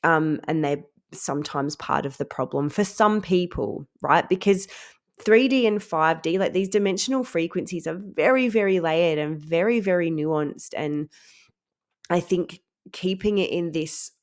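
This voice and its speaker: A woman's voice: on the low side, measured pace. A woman hosting a spiritual podcast, talking casually and earnestly. Her voice is nasal and stuffed up from a head cold. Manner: chatty and earnest, many ums and laughs. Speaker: podcast host.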